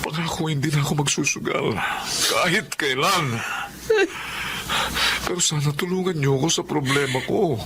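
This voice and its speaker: A man's voice: on the high side, moderate pace, background noise, natural voice. A man playing a desperate, apologetic middle-aged father and gambler in a melodrama, pleading sheepishly. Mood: remorseful. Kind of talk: Filipino radio-style melodrama acting.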